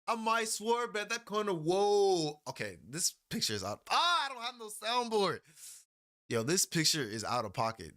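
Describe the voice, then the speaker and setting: A man's voice: fairly high pitch, pushed and bright. A young man giving a casual, slangy reaction commentary as a YouTuber, laughing often and rambling into asides.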